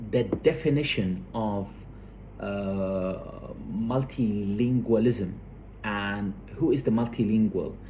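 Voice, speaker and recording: A male lecturer explains academic material at a very unhurried pace. His voice is mid-pitched and a little mellow, and he hesitates, repeats words and checks understanding as he goes. A faint noise sits behind him. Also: rough recording.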